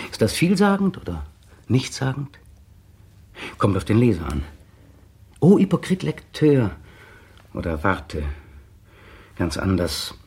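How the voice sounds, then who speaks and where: A slow, husky, brooding man. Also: mid-range voice, darkish tone, full character voice. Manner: talking to himself, halting, switching into slow declamation. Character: brooding, cynical man, a poet, waiting restlessly at home. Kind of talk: 1960 German radio drama interior monologue.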